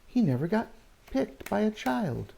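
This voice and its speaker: Animated man reading a slow-paced children's story. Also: high voice, vocal fry. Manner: expressive, drawn-out story lines with exclamations and questions to listeners. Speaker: teacher reading a picture book to young children. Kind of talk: animated read-aloud storytelling with asides to children.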